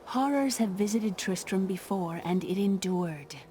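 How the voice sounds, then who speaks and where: Woman acting a sceptical young niece, mid-pitched. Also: expressive, measured pace, a little hiss or hum, lightly acted. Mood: weary, affectionate. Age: young adult. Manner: natural, gently exasperated. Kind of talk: fantasy game dialogue.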